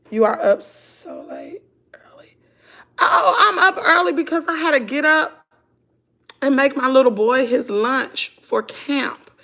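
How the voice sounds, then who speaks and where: A middle-aged woman with a Southern US accent, chatting hoarsely to her viewers at home on a phone livestream. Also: high-pitched, a bit bright. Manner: chatty, laughing, drawling, talks to "y'all". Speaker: vlogger on a morning livestream. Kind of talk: casual chat with viewers. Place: at home indoors.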